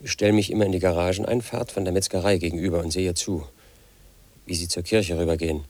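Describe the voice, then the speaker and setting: A man's voice: low voice, fairly even intonation, quick pace, a little mellow, no echo. An actor playing a lonely, paranoid 38-year-old man who talks in a pedantic, confiding way in a radio drama monologue. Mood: brooding. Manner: pedantic, confiding, obsessed with statistics and codes. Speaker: lead actor in a radio play.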